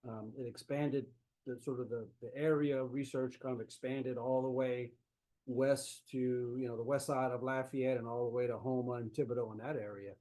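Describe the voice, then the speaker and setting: A man's voice: mid-range voice, flat delivery, moderate pace, warm tone. A man with a South Louisiana accent giving a folksy, easygoing talk as an author and historian over a laptop mic on a video call. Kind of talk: conversational remote lecture. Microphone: laptop microphone on a video call.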